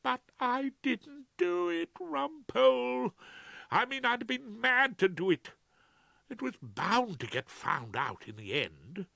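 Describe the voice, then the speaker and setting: A man's voice: very high voice, big pitch swings, slow pace, gravelly, bright, forceful tone, no room sound, voice pushed up, heavily acted. A man voicing an anxious, aggrieved middle-aged male barrister, plaintive and indignant, in an audiobook. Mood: panicky protest. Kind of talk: audiobook character voice.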